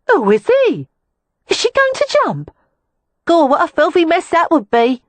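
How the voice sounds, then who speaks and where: A woman voicing coarse, nosy brothel women, calling out shrill and brassy. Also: huge pitch swings, unhurried, no room sound, full character voice. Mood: heckling.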